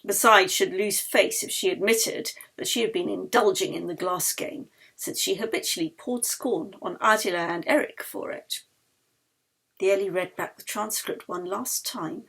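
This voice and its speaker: A woman reading her novel aloud in expressive audiobook narration, with a husky, breathy voice and a dramatic manner that drops to hushed phrase endings. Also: medium pitch.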